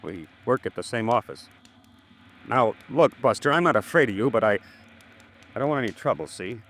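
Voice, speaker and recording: A man playing a hot-tempered, defensive fellow in a 1950s radio drama, with halting bluster. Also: mid-range voice, animated, a bit bright. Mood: defensive, angry.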